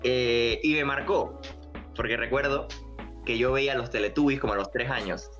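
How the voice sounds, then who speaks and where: Muffled young Panamanian man, mid-pitched. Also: fairly even intonation, normal speed, a bit bright, faint music behind. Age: 20s. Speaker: podcast guest. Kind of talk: casual personal storytelling. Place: at home, remote recording. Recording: dull lo-fi home connection.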